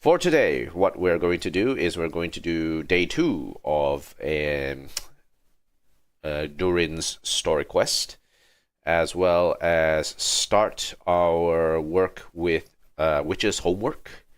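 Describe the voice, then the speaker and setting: A man's voice: low voice, slow, smooth. A man hosting a casual gaming livestream, talking in a hesitant, rambling way. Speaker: livestream host.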